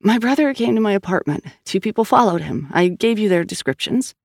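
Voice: mid-range voice, lively intonation, fairly quick, vocal fry, no room sound, studio quality, voice pushed up. An audiobook narrator playing a tense, stubborn woman police officer who speaks insistently and with exasperation. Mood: exasperated. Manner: insistent, emphatic.

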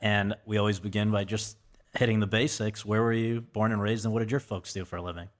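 A man hosting a podcast, reading a measured scripted introduction full of quotations, then asking polite questions. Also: on the low side, little pitch movement. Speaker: podcast host.

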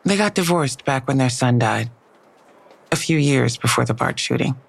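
An actress playing a skeptical police detective in an audio drama, dry and matter-of-fact, with a low, gravelly voice. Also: female voice, normal speed, exaggerated voice. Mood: speculative.

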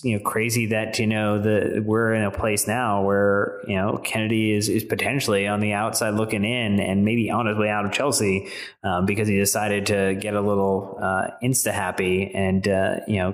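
A man co-hosting a football fan podcast, talking casually with many fillers and restarts. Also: lowish voice. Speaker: podcast co-host.

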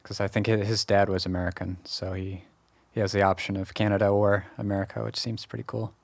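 Male podcast interviewer, fairly deep, informal delivery, dry room with no echo. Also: level tone, brisk, vocal fry. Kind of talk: casual interview chat.

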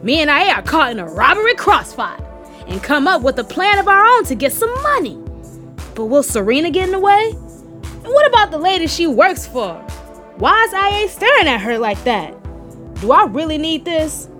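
An actress playing a brash, persuasive American hustler in an audio buddy comedy, with slangy, swaggering, teasing talk. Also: female voice, high voice, big pitch swings, normal speed, bright, forceful tone, soft background music, heavily acted. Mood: excited, announcing. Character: brash, persuasive woman hustler urging friend into crime.

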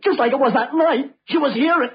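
A man's voice: extremely high pitch, brisk. An actor playing a tough man investigating murder who grows haunted and rattled, in old-time radio mystery style. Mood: panicked. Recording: muffled, husky old radio drama recording.

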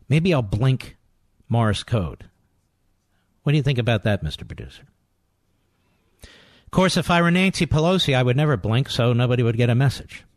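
A man's voice: medium pitch, darkish tone, no echo, clean studio sound. A man hosting a call-in radio talk show, talking emphatically and playfully while he takes calls. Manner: emphatic, playful, swooping delivery. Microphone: studio microphone.

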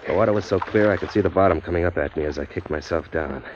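A man's voice: low voice, fast talker, very noisy, natural voice. A man playing a hard-boiled wisecracking drifter. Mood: narrating. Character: tough young male drifter, wisecracking, smitten. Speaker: narrator and lead. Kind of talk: 1940s radio acting. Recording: muffled 1940s radio broadcast.

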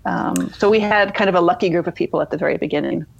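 A woman guest on a podcast, answering from a remote location in a measured, reflective way. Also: on the low side, creaky, husky, lo-fi sound. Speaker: guest editor. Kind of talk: podcast interview.

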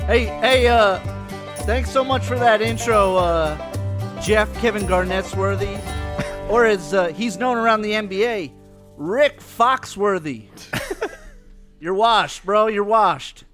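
A man's voice: high voice, slow pace, over music. A man voicing a laid-back Southern male comic with a put-on Southern accent, roasting with teasing jokes. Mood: mocking. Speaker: comedy podcast co-host. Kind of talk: mock roast comedy bit.

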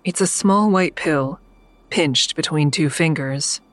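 A low-voiced, weary, defiant heroine. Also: female voice, slow pace. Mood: tense, bleak. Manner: weary, grim, blunt. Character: exhausted, defiant woman narrating her own ordeal. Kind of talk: first-person dramatic narration in audio drama.

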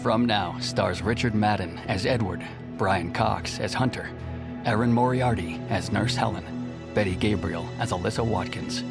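A man reads an audio drama's credits, name after name, in a steady, even voice. His tone is lowish and gravelly. Music plays clearly beneath him. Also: normal speed. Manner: steady, even list reading. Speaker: credits announcer. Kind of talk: reading end credits.

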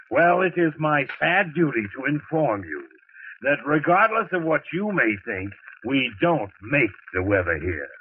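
An actor playing a fussy, pompous weather bureau chief in a 1940s radio drama, flustered and lecturing. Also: male voice, fairly high pitch, big pitch swings, measured pace. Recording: tinny, hissy old radio broadcast.

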